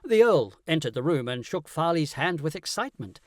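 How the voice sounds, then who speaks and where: A man reading a scripted first-person story as an audiobook narrator, in an animated, dramatic way. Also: on the high side, a little fast, dry recording, studio quality.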